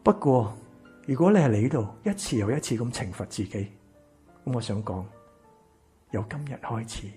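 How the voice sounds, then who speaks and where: An actor playing a wounded, embittered rich man in a Cantonese radio drama, with a Hong Kong accent and a breathy, husky voice. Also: mid-range voice, expressive, moderate pace, pauses often, dark tone, natural voice. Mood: calm, measured. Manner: intense, dramatic. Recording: music behind the voice.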